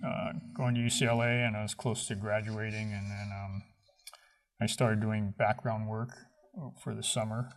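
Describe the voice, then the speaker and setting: A man being interviewed as a stunt coordinator, answering in a relaxed, rambling way with many fillers. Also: fairly low pitch, normal speed.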